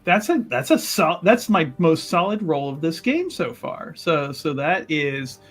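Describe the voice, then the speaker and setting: A man's voice: fairly high pitch, sing-song, unhurried, fairly bright tone, faint music behind. A man playing a tabletop role-playing game, commenting casually with wordy, theatrical phrasing.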